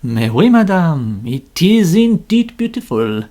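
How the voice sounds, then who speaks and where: Storybook detective: measured, courtly little man with a French accent, read by the narrator. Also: medium pitch, big pitch swings, warm tone, voice pushed up. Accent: French-flavoured. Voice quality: courtly, formal. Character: Hercule Poirot, polite small Belgian detective.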